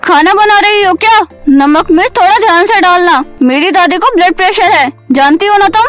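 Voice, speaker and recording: A sneering little stepdaughter, played by a woman narrator, speaks in a high, needling voice. She taunts and accuses like a cartoon schemer, with music playing behind her. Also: moderate pace, a bit bright. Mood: sneering, taunting. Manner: taunting, whiny complaining.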